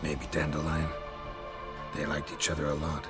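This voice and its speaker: A very deep-voiced, stoic monster hunter. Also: male voice, moderate pace. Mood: somber, guarded. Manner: deadpan, terse. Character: stoic gruff male monster hunter, world-weary and dry. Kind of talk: video game cutscene acting. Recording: music behind the dialogue. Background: clear music.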